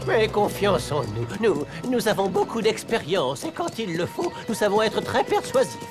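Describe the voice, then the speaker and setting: A man voicing a courteous, learned little creature adventurer in an animated fairy tale, formal and reassuring. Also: sits high, normal speed. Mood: confident, reassuring. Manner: formal, reassuring, self-assured. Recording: music behind the voice.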